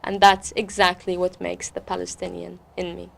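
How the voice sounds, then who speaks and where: A woman's voice: lowish voice, monotone, normal speed, gravelly. A woman who is an interview guest and poet, speaking expressively and repeating words for weight. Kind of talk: interview talk and spoken-word poetry recital.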